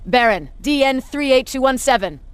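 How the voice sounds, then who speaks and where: An actress playing a tough, stern resistance commander in a video game, authoritative, curt and dryly sardonic. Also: female voice, sits high, animated, moderate pace, a little hiss or hum. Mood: brisk, commanding. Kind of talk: dramatic video game acting.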